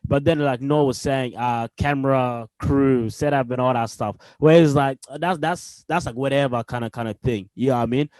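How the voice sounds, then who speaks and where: A man's voice: mid-range voice, moderate pace, few pauses, a little mellow, no room sound. Slangy young Australian man, dry sound. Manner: slangy, says "bruv" and "you know what I mean". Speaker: podcast co-host. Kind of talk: casual chat.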